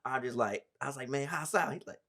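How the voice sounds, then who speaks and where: Mid-pitched male host, affirming. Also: quick pace, bright, forceful tone. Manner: affirming, responds with "okay" and laughs. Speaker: interview host and preacher. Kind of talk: casual interview chat.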